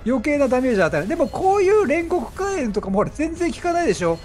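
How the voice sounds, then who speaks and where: Animated high-pitched man commentating a game. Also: fast, soft background music. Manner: chatty, animated, exclaims at the action. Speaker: gaming streamer commentating gameplay. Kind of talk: excited game commentary and explanation.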